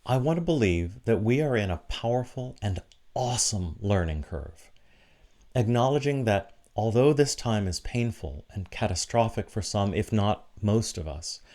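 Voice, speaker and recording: A man delivering a prepared, reflective sermon with measured, deliberate phrasing and short pauses for emphasis. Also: on the low side, animated, warm tone. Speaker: preacher giving a sermon for an online church service.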